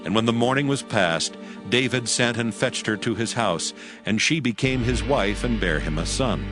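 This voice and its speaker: A man's voice: mid-range voice, lively intonation, normal speed, over music. A man reading scripted narration for a dramatized Bible audiobook.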